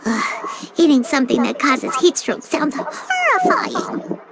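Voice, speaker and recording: A woman voicing a tiny, chirpy, childlike fairy companion in a video game, squeaky and expressive. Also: unhurried, heavy background noise, full character voice. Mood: musing. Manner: expressive, exclamatory. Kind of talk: video game character acting.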